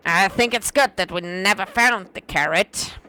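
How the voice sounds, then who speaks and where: A hoarse woman voicing a dry, reproachful companion in a game, close to her own voice. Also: medium pitch, moderate pace, pushed and bright, slight background noise, close to an everyday voice.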